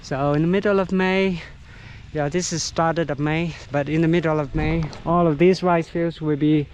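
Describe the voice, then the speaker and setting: A middle-aged man whose first language is Vietnamese, working as a local guide and narrating a casual vlog outdoors in an unhurried, halting way with many fillers. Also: on the high side, steady tone, darkish tone, dull audio, slight background noise. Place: outdoors on countryside trails.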